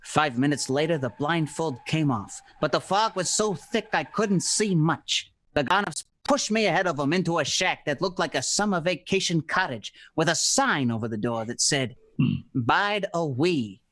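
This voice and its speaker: A man acting a hard-boiled noir detective for radio. His voice is bright and a little high, with animated pitch, while the lines come out terse, cynical and wisecracking. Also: normal speed. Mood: wry narrating. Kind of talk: noir radio play narration and dialogue.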